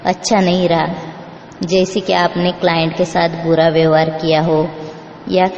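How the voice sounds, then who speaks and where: A woman's voice: on the low side, a little mellow. A woman recruiter delivering a scripted explanatory message in a firm, instructing manner. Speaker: recruiter reading a job pitch. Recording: echoey, reverberant, muffled, noisy playback.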